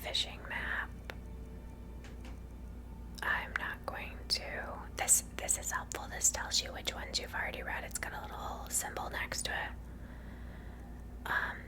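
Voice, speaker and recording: A woman delivers ASMR gameplay commentary in a breathy, unvoiced whisper. She sounds casual, thinking aloud close to the mic. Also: takes it slowly, pauses often. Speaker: gamer host.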